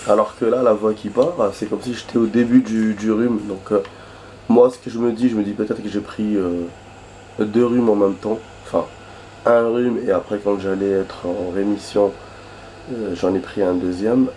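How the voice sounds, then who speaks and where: A man commenting on a video game while hoarse from a cold, rambling chattily and swearing in surprise at the action. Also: on the low side, fairly even intonation, normal speed, dark tone. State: hoarse from a cold, losing his voice. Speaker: gaming video host. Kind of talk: casual let's-play commentary. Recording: background noise and game sounds.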